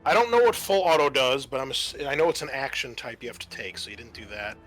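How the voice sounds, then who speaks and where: A man's voice: mid-range voice, very animated, fast talker, a bit bright. A man acting as game master, narrating and making rulings in a casual, profane way. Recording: music behind the voice.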